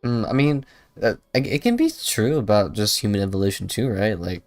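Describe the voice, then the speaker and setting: A man's voice: on the low side, lively intonation, fairly quick, a little mellow, dry recording. A man doing casual livestream game commentary, rambling informally and a little hesitantly. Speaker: game streamer. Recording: dry close voice, faint game music.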